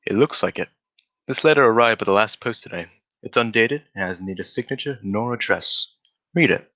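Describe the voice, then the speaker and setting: A man playing a brisk, self-assured gentleman detective in a 1940s-style radio drama, speaking precisely with a lightly condescending, lecturing air. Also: lowish voice, animated. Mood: businesslike.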